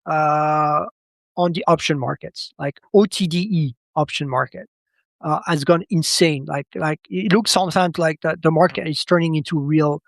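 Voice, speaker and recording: A man with a slightly high voice talks as a guest analyst in an unscripted interview. He moves haltingly, doubling words and restarting phrases. The sound is dry, with very little room in it. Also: gravelly, dry recording. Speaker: podcast guest, credit market analyst.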